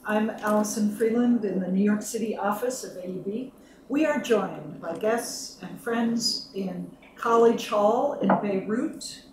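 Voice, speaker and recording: A woman hosting and moderating a panel briefing, introducing speakers in a measured, deliberate way with light fillers. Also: medium pitch. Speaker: host and moderator. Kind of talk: event introduction. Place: office room. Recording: roomy, distant mic, noisy.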